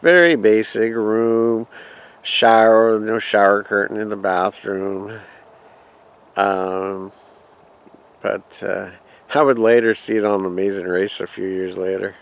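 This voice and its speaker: A man's voice: lowish voice, steady tone, slow, clear, resonant tone. A man casually telling a rambling personal travel story, reminiscing and quoting his own past thoughts. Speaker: vlogger.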